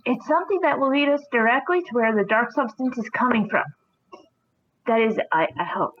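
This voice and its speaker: A woman's voice: on the high side, brisk, lightly acted. A woman voicing an earnest, brainy young boy inventor in a cartoon comic dub. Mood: earnest, proud. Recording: muffled mic with clear background noise.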